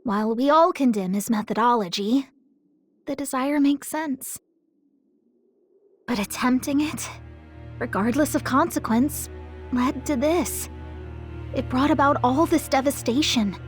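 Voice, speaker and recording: A woman's voice: unhurried, pauses often. A woman playing a youthful, plucky female deity who leads a patrol in an anime game, girlish, earnest and assertive. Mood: earnest, grave. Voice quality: high, girlish. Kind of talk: anime video game dub acting. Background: game music.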